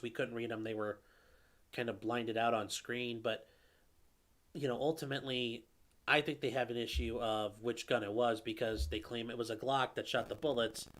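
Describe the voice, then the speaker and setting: A man's voice: medium pitch, a bit bright. A man, a lawyer hosting a live stream, answering viewer questions in a casual, rambling way with fillers and restarts. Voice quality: calls his own voice nasally; a viewer calls it velvety.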